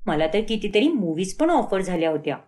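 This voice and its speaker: A woman plays a modern middle-aged mother-in-law from a cartoon moral story. She is vain and boastful, quick to argue, and her pitch swings freely. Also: sing-song, dark tone, lightly acted. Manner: boastful, argumentative.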